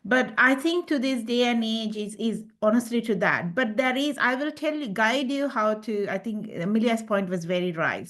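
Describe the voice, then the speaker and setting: A woman's voice: medium pitch, a bit bright. A woman with a Sri Lankan accent, speaking as a panelist in a discussion, earnest and encouraging, with frequent restarts.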